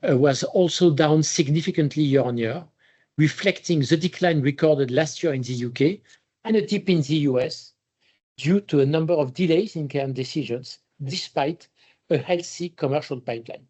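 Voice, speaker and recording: Male chief executive, slightly high voice, formal and measured delivery of a prepared company update. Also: mellow, breathy edge. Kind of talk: scripted earnings presentation.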